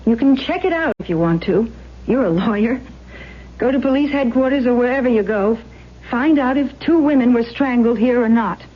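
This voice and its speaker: An emotional young woman in an old radio drama. Her voice is highish and a bit breathy-rough, earnest and dramatic, with muffled broadcast sound. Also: lively intonation, moderate pace. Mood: troubled, insistent. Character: earnest, emotional young aspiring actress, troubled tenant. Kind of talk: 1970s radio drama acting.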